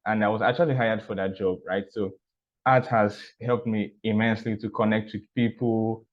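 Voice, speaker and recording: A man sharing personal stories in a measured, casual way, often repeating words and asking "right?". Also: fairly low pitch, fairly even intonation. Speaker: art teacher and architecture student. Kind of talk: casual talk. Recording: dull, muffled recording.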